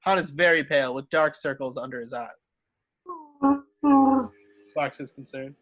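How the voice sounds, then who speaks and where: A man's voice: medium pitch, normal speed, sharp tone. A man narrating screenplay stage directions in an amateur table read, sometimes stumbling and rereading. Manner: stumbles over words and rereads.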